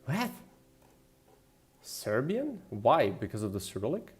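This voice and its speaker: A man chatting casually as he works on a livestream, rambling and thinking out loud. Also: medium pitch, huge pitch swings, takes it slowly. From Slovenia. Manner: rambling, thinking aloud, mildly profane. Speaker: game developer and streamer. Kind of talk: casual livestream chat while working. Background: background music.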